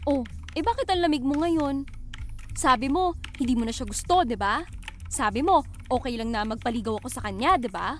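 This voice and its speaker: High-voiced young Filipino woman acted, earnest best friend. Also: lively intonation, brisk, a little hiss or hum. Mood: hurt, confronting. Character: chatty young woman confronting her sulking best friend. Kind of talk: amateur voice acting duet, romantic drama.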